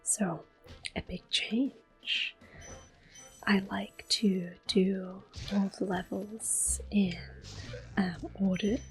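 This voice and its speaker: A woman speaking softly and whispering in a gentle, unhurried, rambling way as she gives casual ASMR commentary while playing a video game. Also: mid-range voice, fairly even intonation. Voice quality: soft-spoken, whispery. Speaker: gaming video host. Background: game music and controller sounds.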